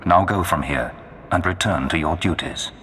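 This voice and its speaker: An actor voicing a menacing Sith lord in a video game, speaking in a grandiose, commanding way. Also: male voice, sits low, moderate pace, a bit bright, a little hiss or hum. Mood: imperious. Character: menacing male Sith lord of a dark empire. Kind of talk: video game villain acting. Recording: long cavernous reverb.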